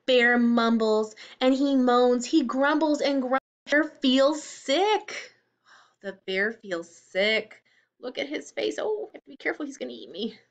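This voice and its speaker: Female children's teacher, higher-pitched voice with animated melody, instructive read-aloud delivery. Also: a little slow, muffled recording. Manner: simple, instructive, expressive phrasing for young children. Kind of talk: children's read-aloud lesson with explanations and questions.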